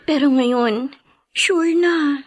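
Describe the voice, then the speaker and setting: A woman's voice: high voice, extremely slow. An actress playing an anxious, devoted young woman in a radio drama, pleading and emotional. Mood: worried. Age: young adult. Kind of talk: Filipino radio drama acting.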